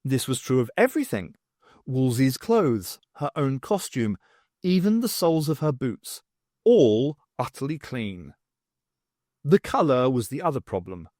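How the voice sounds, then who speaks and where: A man reading a story aloud as an audiobook narrator, in an expressive scripted style. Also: mid-range voice, a little slow, no room sound, clean studio sound.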